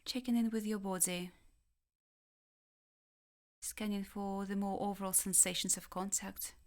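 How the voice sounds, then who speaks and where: A woman guiding a meditation in a calm, gentle, measured way, leaving long pauses between phrases. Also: medium pitch. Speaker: meditation guide, therapist. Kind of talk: guided body scan meditation.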